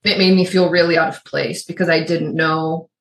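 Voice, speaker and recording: A woman's voice: low voice, little pitch movement, normal speed, slight background noise. A middle-aged woman from Michigan's Upper Peninsula with a faint Yooper accent, co-hosting a podcast in rambling casual chat. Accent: Yooper, suppressed. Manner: chatty, rambling with restarts and asides. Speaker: podcast co-host. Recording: roomy echo.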